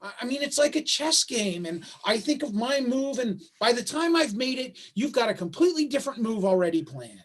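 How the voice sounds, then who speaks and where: Father, male actor, high-pitched voice. Conciliatory, self-deprecating, halting, working-class dad in naturalistic stage drama. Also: big pitch swings, heavily acted. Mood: baffled, earnest. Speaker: stage actor in a play reading. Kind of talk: staged play reading, naturalistic dialogue. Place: remote video call.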